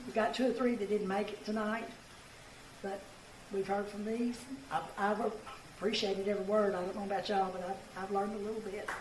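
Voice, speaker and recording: Raspy lady thanking folks, echoey hall, mic pretty far off, noisy. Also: medium pitch, little pitch movement, brisk. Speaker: county party chairperson. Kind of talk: closing remarks at a picnic event. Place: indoor event hall.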